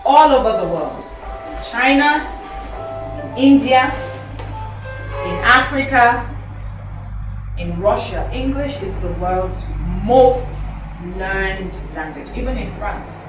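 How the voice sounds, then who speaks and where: A woman's voice: on the high side, expressive, slow pace, pauses often. A woman, an elocution teacher, giving a talk to teenagers, asking them questions and repeating herself for emphasis. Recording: roomy hall from a distance, music behind.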